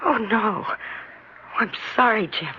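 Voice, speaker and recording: A woman's voice: medium pitch, lively intonation, a little slow, lightly acted. An actress playing a frantic young mother pleading for help for her sick son in a 1950s radio drama. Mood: frantic worried. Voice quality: urgent, pleading. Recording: dull old radio recording with faint hiss.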